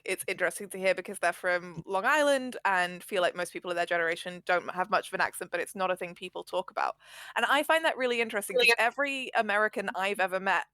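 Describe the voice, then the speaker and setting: A woman's voice: medium pitch, sharp tone, no echo. Thoughtful woman host full of fillers. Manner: thoughtful, asks questions, lots of filler words. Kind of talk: casual chat on a live stream.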